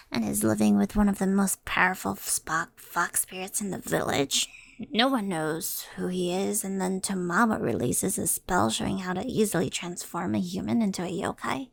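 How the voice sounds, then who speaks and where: A woman's voice: mid-range voice, vocal fry, no room sound. A woman voicing a practical, frank tengu friend in an ASMR roleplay, giving matter-of-fact advice.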